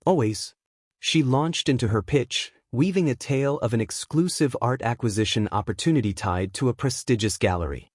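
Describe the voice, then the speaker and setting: A man's voice: mid-range voice, a little fast, few pauses, dry recording, clean studio sound. A man reading a dramatic fiction story as a scripted audiobook narrator.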